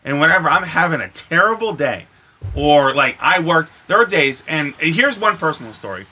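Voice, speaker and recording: A man with a very bright, forceful voice that sits a little high. He co-hosts a casual podcast, telling animated, meandering stories and swearing freely. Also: normal speed, rough recording. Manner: animated, profane, rambling storyteller. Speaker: podcast co-host. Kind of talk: casual chat.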